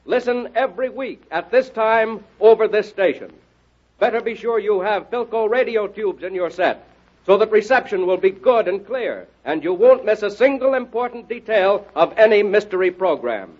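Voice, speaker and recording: A man announcing in 1930s radio style, delivering an emphatic, exhortative promotional pitch. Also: expressive, moderate pace. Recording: muffled vintage radio broadcast recording.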